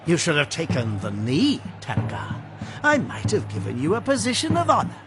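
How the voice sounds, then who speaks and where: Smug savage warlord, mocking, theatrical, pitch all over the place, music under it, audio drama. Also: male voice, wildly swooping pitch, normal speed. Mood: gloating. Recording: music behind.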